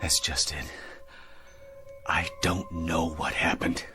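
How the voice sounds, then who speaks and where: An actor playing a shaken American astronaut husband in a radio drama, speaking earnestly and haltingly and insisting he is rational. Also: very deep voice, fairly even intonation, music in the background. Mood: troubled, insistent. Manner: halting, earnest delivery with repeated words.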